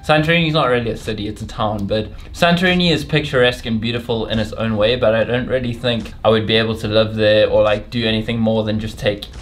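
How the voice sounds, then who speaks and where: A man's voice: lowish voice, fast talker, few pauses, a little hiss or hum. A young man, a vlogger, chatting casually and rambling a little as he answers viewer questions with his girlfriend. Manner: relaxed, rambling, laughs often. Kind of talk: casual couple Q&A.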